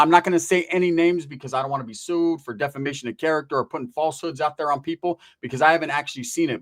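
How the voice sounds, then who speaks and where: A man's voice: medium pitch, a bit bright. A man hosting informal true-crime commentary, talking straight to viewers in emphatic street slang with rhetorical questions and some swearing. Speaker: online commentary host.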